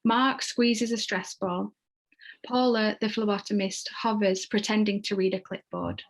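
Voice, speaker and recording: A woman's voice: mid-range voice, fairly even intonation, measured pace. A woman reading stage directions as the narrator of a screenplay, in scripted narration.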